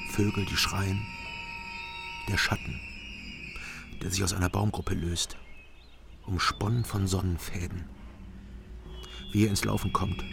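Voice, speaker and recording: Low, gravelly brooding male narrator. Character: brooding male narrator recalling his story. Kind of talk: scripted radio drama narration.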